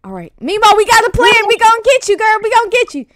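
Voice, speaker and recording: High, excitable woman in roleplay. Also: fast, no room sound, clean studio sound. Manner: bossy, excitable, slangy. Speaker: roleplay video player. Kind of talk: improvised comic roleplay in online game.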